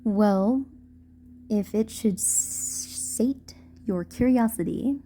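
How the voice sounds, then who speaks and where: A woman playing a gentle, playful ghost girlfriend in an intimate romantic audio roleplay, speaking softly and formally with halting hesitations. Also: medium pitch, slight background noise. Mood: wistful, curious. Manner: soft, hesitant, formal wording with halting stammers. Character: gentle playful ghost girlfriend, shy, tender, old-fashioned speech.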